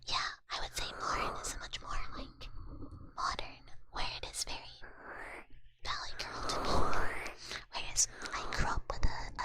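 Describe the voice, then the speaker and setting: A young woman streamer whispering casually as she answers chat, with lots of "like" and loose fillers. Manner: soft whisper, casual filler-heavy talk.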